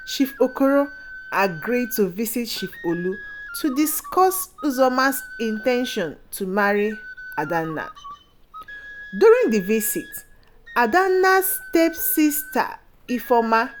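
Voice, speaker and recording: Female storyteller, higher-pitched, expressive and unhurried, for scripted folktale narration over light music. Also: poor audio. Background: background music.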